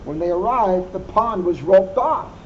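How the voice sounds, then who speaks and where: A police officer reading a children's picture book aloud, adding wry asides. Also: male voice, high-pitched, lively intonation, measured pace. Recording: muffled lo-fi recording with faint hum.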